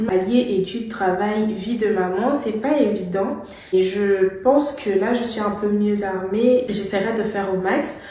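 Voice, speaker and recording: A woman's voice: medium pitch, darkish tone. Young woman from Gabon telling a storytime, chatty and full of fillers, in a very echoey room. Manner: chatty, with fillers and some English words. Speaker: vlogger. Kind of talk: casual storytime.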